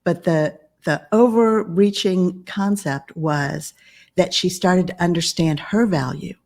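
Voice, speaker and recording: Calm, low-voiced woman business author. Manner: calm, measured. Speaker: guest business coach and author. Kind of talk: podcast interview conversation.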